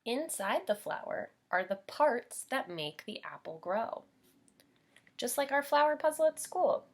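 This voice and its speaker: An American woman reads a children's book in the manner of a preschool teacher. Her voice is a little husky and moves expressively up and down in pitch. She takes her time, explaining things simply and asking questions of young listeners. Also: big pitch swings, measured pace. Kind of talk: children's read-aloud with teaching asides.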